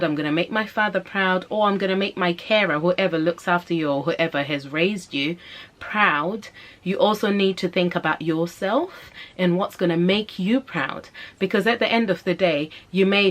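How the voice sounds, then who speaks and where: A woman in her thirties with a Zimbabwean accent, giving earnest, encouraging career advice straight to camera and repeating phrases for emphasis. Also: lowish voice. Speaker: vlogger and mother. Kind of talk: casual advice vlog talking to camera.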